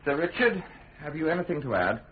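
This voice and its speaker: An actor with a British accent playing a hostile, pompous state prosecutor, insistently cross-examining in a radio drama. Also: male voice, a little high, very animated, a little fast, phone-quality sound. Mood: curt, official. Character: hostile, sardonic state prosecutor, forceful and scheming. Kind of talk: radio drama courtroom acting.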